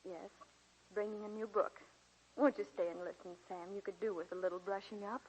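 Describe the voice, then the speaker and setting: An actress playing a refined, warm-hearted college teacher and aunt, genteel and earnest, in a 1940s radio drama. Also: female voice, mid-range voice, fairly even intonation, fairly quick, breathy edge, close to an everyday voice, poor audio. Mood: confiding. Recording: vintage 1940s radio broadcast.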